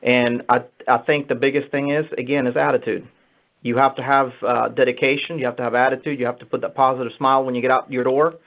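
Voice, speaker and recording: A man's voice: mid-range voice, flat delivery, fast talker. Man giving motivational business advice, dull recording. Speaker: sales manager giving advice. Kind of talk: motivational business talk on a conference call. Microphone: telephone conference line. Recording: dull, muffled conference phone call.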